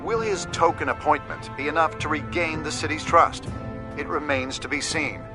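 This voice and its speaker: Male news reporter , played by an actor, game broadcast: formal, grave, slightly high register, music bed. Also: fairly even intonation, normal speed, lightly acted.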